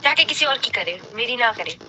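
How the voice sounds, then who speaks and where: A woman's voice: a little high, lively intonation, normal speed, sharp tone. A young woman with a Pakistani accent talking on a phone call in a curt, dismissive way. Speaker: teacher receiving a prank call. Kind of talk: phone conversation.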